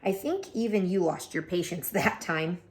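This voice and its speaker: A woman reading a children's picture book aloud as an expressive storyteller. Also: fairly low pitch, moderate pace.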